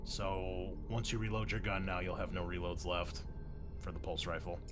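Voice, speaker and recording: Guy game master, lowish voice, casual narrating, faint music under it. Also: flat delivery, moderate pace.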